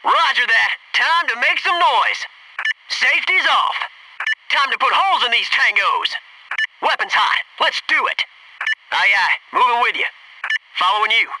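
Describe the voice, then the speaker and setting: A man's voice: extremely high pitch, far from the mic, noisy background. An American man playing a wisecracking, gung-ho Navy SEAL in a video game, with cocky, clipped military delivery. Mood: fired up. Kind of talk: video game combat barks.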